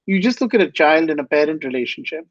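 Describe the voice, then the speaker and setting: Earnest man, high voice, dull dry sound. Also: animated, fast talker, dry recording. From India. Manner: earnest, passionate, repeats phrases. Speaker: guest speaker. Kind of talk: inspirational interview answers.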